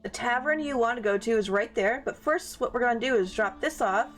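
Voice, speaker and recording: High-voiced woman casually narrating a game. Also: fairly quick, a bit bright, faint music behind. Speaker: game streamer giving a walkthrough. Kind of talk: casual gameplay commentary.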